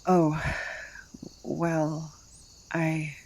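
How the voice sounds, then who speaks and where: A man's voice: on the high side, monotone, drawn-out words, a little mellow, full character voice. A man playing a weary, drifting young adventurer in an audio drama, hesitant and resigned.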